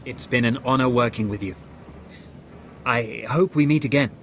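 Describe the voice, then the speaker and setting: An actor playing a loyal imperial officer in a video game, with an earnest, hearty military manner. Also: male voice, mid-range voice, moderate pace, fairly bright tone, faint music behind, close to an everyday voice. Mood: grateful. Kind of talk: dramatic video game acting.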